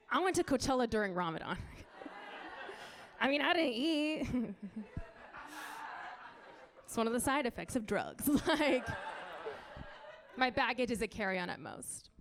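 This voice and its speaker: A young woman from Colorado doing a stand-up set on a club stage, wry and punchy, with quick asides to the crowd. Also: a little high, big pitch swings, a bit bright, slight background noise. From United States (Colorado). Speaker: stand-up comedian.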